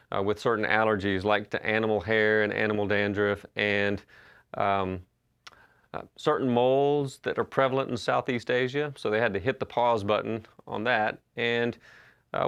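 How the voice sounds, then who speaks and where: A man, telling stories in a relaxed, conversational sermon. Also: fairly low pitch, creaky, smooth. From Western North Carolina. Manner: conversational storytelling with frequent uh and restarts. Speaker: pastor. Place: church sanctuary.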